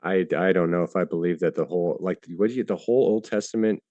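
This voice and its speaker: A man in a debate who answers haltingly, with many fillers and restarts and some casual swearing. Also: deep voice, warm tone, no room sound, lo-fi sound. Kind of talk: live debate.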